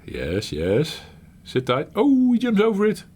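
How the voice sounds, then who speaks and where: A middle-aged man hosting his retro gaming channel, talking while he plays in a halting, reflective way, searching for words and laughing at himself. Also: high-pitched, wildly swooping pitch, a little mellow, slight background noise. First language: Dutch. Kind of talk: reflective gameplay commentary.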